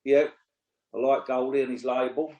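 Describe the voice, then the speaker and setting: A man's voice: medium pitch, fairly even intonation, moderate pace, mellow. Blunt, opinionated man in his sixties. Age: 60s. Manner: blunt, opinionated, swears freely. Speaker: podcast co-host and pundit. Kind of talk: casual chat. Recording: roomy echo.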